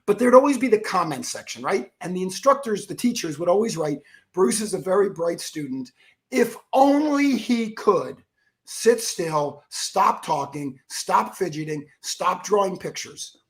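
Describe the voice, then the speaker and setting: A man with a froggy, rough voice telling stories as an energetic interview guest, acting out the quotes. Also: high-pitched, unhurried. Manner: energetic storyteller who acts out quotes. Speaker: guest, keynote speaker and author. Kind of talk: animated interview talk.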